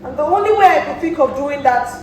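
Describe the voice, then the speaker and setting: A woman's voice: high-pitched, lively intonation, fast, darkish tone. A Nigerian woman acting an anguished, defensive woman in a stage play, speaking in an emphatic, declamatory way. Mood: desperate, pleading. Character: anguished, defensive woman pleading her case. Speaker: student stage actor. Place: theatre stage, recorded from a distance. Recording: echoey stage hall, distant mic, faint noise.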